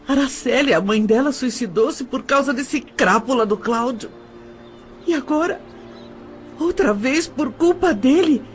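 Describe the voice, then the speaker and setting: Woman doing distraught middle-aged brazilian stepmom in a radio play, bitter, drawn-out delivery. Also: high-pitched. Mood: shocked, anguished. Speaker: voice actor. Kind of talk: audio drama acting.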